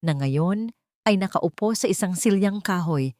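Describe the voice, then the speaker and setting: Female Filipino storyteller, lower register, dramatic scripted narration, close dry studio sound. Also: expressive, unhurried, a little mellow, no room sound. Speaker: narrator of audio love stories.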